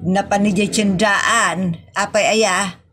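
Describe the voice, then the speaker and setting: A woman's voice: medium pitch, steady tone, slow, fairly bright tone, faint music behind. A woman with an Ilocano Filipino accent acting a bossy, exasperated wife in a comedy audio drama, scolding and questioning.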